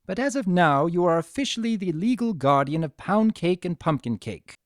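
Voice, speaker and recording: A man plays an official mare caseworker in a story reading. The tone is courteous and formal, measured and businesslike. The pitch sits lifted above a plain male narrating voice. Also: animated, no room sound, studio quality. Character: polite, businesslike female pony caseworker.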